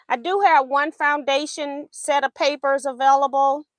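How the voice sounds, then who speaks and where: Brief: high-pitched woman, chatty livestream host, slightly strained voice, dry close sound. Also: steady tone, measured pace, no echo. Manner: chatty, laughs often, reads viewer comments aloud. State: voice going in and out. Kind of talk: casual livestream chat while sewing.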